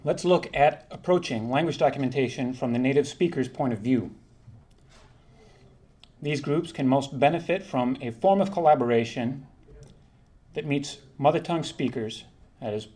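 A man delivers a prepared academic presentation in a measured, formal tone. His pitch sits in an ordinary middle range, and he now and then stops to correct a word before carrying on. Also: darkish tone. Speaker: linguistics conference presenter. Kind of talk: reading a prepared academic talk.

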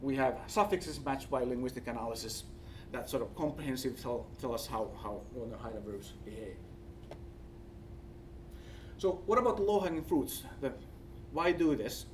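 A man with a Finnish accent gives an academic presentation, speaking haltingly and searching for words. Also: medium pitch, expressive. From Finland. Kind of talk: conference presentation with slides. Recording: distant mic, faint background noise.

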